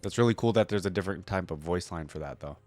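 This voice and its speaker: A man's voice: low-pitched, quick pace, darkish tone, no echo. Man giving enthusiastic casual reaction commentary, dry sound. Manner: enthusiastic, slangy fan talk. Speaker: reaction video host.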